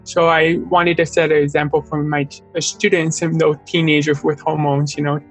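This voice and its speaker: A young man with the accent of a deaf speaker, an artist talking earnestly and openly as an interview guest, sometimes halting over a word. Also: on the high side, little pitch movement, a bit bright, soft background music, poor audio. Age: young adult. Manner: earnest, open, at times halting speech. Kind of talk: casual interview conversation.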